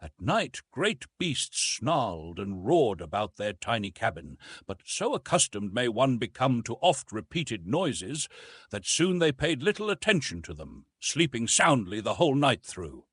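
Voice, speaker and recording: A man narrating classic adventure fiction as an audiobook, with dramatic, deliberate phrasing. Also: mid-range voice, big pitch swings, a bit bright, husky, no echo.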